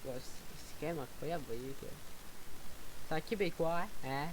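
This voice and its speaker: Young male gaming vlogger, slightly high voice, hesitant and rambling, game engine noise and faint hiss behind him. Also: normal speed, low-quality recording. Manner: rambling, hesitant, many fillers and restarts; excitable whoops while driving. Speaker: gaming YouTuber. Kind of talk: casual gameplay commentary.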